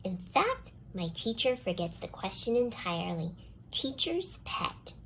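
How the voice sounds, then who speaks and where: A woman plays a young schoolgirl narrating her own storybook tale about school grievances. Her delivery is expressive and put-upon, with very lively swings in pitch. There is some noise behind the voice. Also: a little slow, heavily acted, low-quality recording. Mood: grumbling. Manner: expressive, complaining first-person storytelling. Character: jealous young schoolgirl, grudgingly softening toward the dog. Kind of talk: scripted children's storybook read-aloud.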